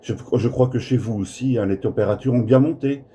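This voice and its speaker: Dark-voiced Frenchman on the radio. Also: medium pitch, brisk, a little hiss or hum. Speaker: amateur CB radio operator. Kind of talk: casual radio contact chat. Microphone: smartphone recording in the room.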